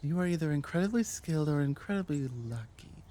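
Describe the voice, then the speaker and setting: A woman playing a proud, ancient dragon scholar burdened by guilt in an ASMR audio drama, speaking with commanding deliberation and halting dramatic pauses. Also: low voice, mellow, a little hiss or hum, natural voice. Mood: grave, intent.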